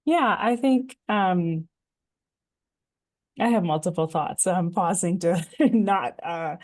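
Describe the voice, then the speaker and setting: A woman from the Bahamas on a panel, thinking aloud in a drawn-out way with many ums. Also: lowish voice, darkish tone. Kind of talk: casual panel discussion.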